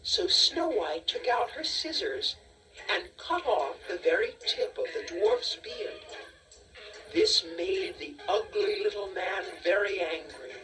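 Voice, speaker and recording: Woman reading a kids fairy tale, slow and really animated pitch, music in the background. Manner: expressive, deliberate storytelling. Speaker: storybook narrator. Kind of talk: scripted children's story narration. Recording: distant cassette audio with music.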